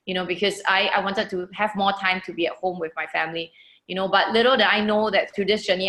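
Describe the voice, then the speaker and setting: A woman's voice: mid-range voice, a bit bright, echoey room. A woman from Singapore, hosting an online webinar in an encouraging manner with frequent "you know" fillers, speaking over a video call. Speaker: webinar host. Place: holiday lodging, echoey room.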